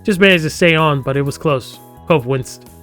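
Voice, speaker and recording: A man's voice: on the high side, moderate pace, fairly bright tone. A man streaming a game live, reading its story text aloud and breaking off into chatty, joking asides. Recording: music playing behind the voice.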